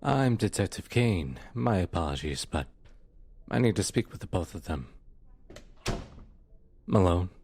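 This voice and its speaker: A man playing a firm but courteous police detective in an audio roleplay, with a low, husky voice. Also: very animated, a little slow, long pauses, a little mellow, slight background noise, exaggerated voice. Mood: firm, apologetic.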